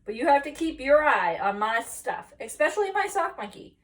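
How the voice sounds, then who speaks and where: A woman voicing an excitable, bold little boy kitten in a children's storybook read-aloud. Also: high-pitched, moderate pace, voice pushed up. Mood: eager.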